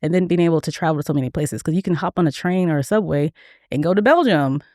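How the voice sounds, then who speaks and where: A woman's voice: low-pitched, expressive, mellow, no room sound, clean studio sound. A woman in her forties, a food influencer guest chatting bubbly and easily in a podcast interview. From Memphis, raised in Atlanta and Germany. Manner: bubbly, quick and chatty with frequent laughs.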